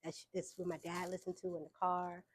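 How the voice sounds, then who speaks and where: Soft-voiced young woman, relaxed and chatty. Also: lowish voice, fairly quick, slightly rough. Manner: relaxed, frequent fillers, laughs between points. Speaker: interview guest, musician. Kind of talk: casual conversational storytelling.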